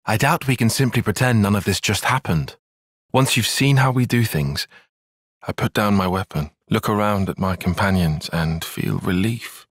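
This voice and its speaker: A man's voice: fairly low pitch, moderate pace, dry recording, close to an everyday voice. A man playing an analytical, guarded young soldier strategist in a video game, speaking precisely and articulately with a dry, sarcastic edge. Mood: quiet, reflective. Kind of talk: video game cutscene voice acting.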